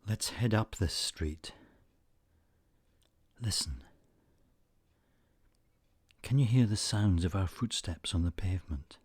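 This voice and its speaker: A man narrating a scripted guided meditation in a soothing, measured manner, leaving frequent pauses between short phrases. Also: low-pitched, lively intonation, a little mellow, slightly rough, dry recording.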